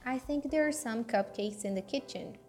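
A woman voicing Benji, a gentle, clever new toy in a children's storybook, in a soft, earnest way. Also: fairly high pitch, moderate pace, soft background music, close to an everyday voice. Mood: shy, curious.

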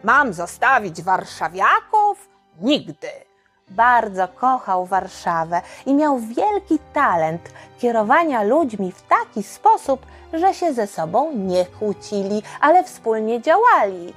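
A woman's voice: fairly high pitch, sing-song, a little slow, husky, faint music behind. A woman narrating a history story for children in a conversational, explanatory way with dramatic emphasis. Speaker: children's history podcast host.

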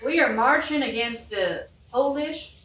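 A matter-of-fact army captain acted by a woman, frank and deadpan in a comic audio play. The voice is fairly high, echoey and a bit far from the mic. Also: unhurried. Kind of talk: modern-language satirical audio play acting. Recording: echoey room, distant from mic.

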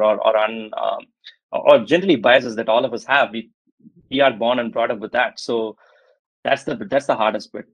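A man's voice: medium pitch, gravelly, no echo, rough recording. A man speaking as an interview guest on venture capital, talking in a hesitant way with many fillers and restarts. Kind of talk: conversational interview answer.

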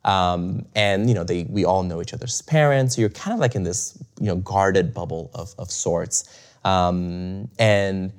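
Deep-voiced man, reflective and chuckling. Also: a little slow, clean tone. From Bulgaria. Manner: reflective, many fillers and restarts, chuckles often. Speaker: interview guest. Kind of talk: casual conversational interview.

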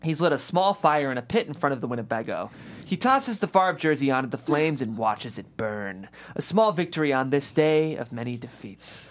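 A woman's voice: very deep voice, lively intonation, normal speed, faint music behind. A woman hosting and reading aloud, as at a script table read. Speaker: host and script reader. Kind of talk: reading screenplay action aloud, then interviewing the writer.

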